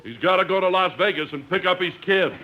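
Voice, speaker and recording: A man's voice: high voice, fast, close to an everyday voice. A man playing a cocksure, grumbling prospector sidekick in a 1950s radio comedy, broad and complaining. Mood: matter-of-fact. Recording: muffled old radio recording with hiss.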